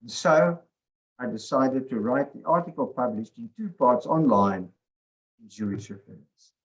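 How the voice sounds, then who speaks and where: A man reading a passage aloud in an expressive manner. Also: medium pitch, a little slow, muffled recording. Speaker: speaker reading his article summary.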